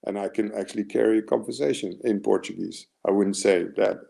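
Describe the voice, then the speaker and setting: A man in his forties with an American accent speaks in a low, gravelly, mellow voice. He is a mental performance coach giving a motivational webinar talk. He is earnest and rambling, and often restarts his sentences. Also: steady tone, brisk.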